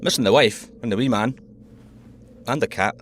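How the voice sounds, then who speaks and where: Male actor as a joking Scottish sub pilot, middle pitch, faint ambience. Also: moderate pace, a little hiss or hum, close to an everyday voice. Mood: reflective. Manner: cheeky, joking. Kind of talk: audio drama acting.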